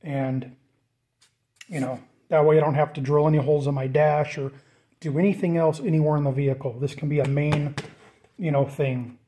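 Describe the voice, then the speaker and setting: A man giving a casual, off-the-cuff walkthrough of a DIY project, informal and thinking out loud as he goes. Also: on the high side, steady tone, normal speed. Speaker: DIY vlogger presenting a build.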